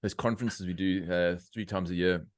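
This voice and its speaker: A middle-aged man from South Africa hosting a podcast, talking in opinionated, animated rants with laughter. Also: low-pitched, moderate pace, dry recording, studio quality. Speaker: podcast host. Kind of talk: casual interview chat.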